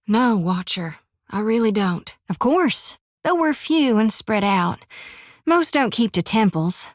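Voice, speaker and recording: A woman's voice: medium pitch, dry recording, clean studio sound, close to an everyday voice. Folksy young priestess, voiced by a woman. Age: 20s. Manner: folksy homespun drawl with rural sayings. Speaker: game companion. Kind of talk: game voice acting.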